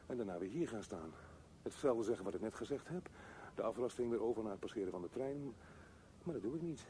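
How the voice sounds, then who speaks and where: Guy playing a restless brooding loner thinking out loud in a radio play, very lively pitch, musing broken phrases. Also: quick pace, a little hiss or hum, close to an everyday voice. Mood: restless, urging himself. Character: brooding, restless man thinking aloud, alone. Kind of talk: radio drama monologue.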